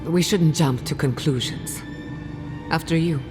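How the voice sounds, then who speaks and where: Loud music sits behind a low female voice in a reverberant space. a confident scholar-adventurer in a fantasy game, articulate, wry and keen to explain. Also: measured pace. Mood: wary, curious. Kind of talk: scripted video game acting.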